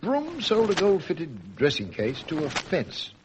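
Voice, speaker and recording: A man playing an older, avuncular British police sergeant in a vintage radio drama, with a gruff, colloquial storytelling manner. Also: a little high, wildly swooping pitch, measured pace. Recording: noisy old radio recording.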